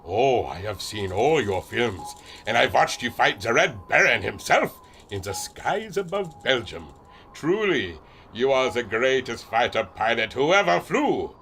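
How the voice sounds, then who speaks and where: Bright, booming German airship captain. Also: male voice, big pitch swings, a little slow, creaky, slight background noise, heavily acted. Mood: proud, mysterious. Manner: hearty booming laugh, theatrical delivery. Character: jovial grand German-born airship captain and inventor, huge man. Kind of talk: pulp radio adventure serial acting.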